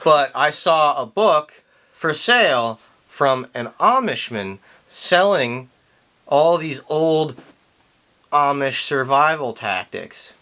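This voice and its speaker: A man hosting a casual chat, telling a story with playful deadpan teasing. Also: fairly high pitch, slow. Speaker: host.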